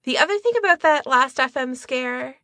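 A woman's voice: high voice, animated, moderate pace, pushed and bright, no room sound, clean studio sound. A woman podcast co-host in casual conversation, talking informally and thinking aloud as she goes. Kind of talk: casual chat about fan hobbies.

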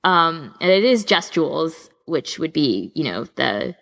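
A woman podcast co-host chatting casually in an informal, animated way, wandering into tangents as she gives recommendations. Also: low voice, unhurried. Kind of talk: casual chat, recommending audio fan fiction.

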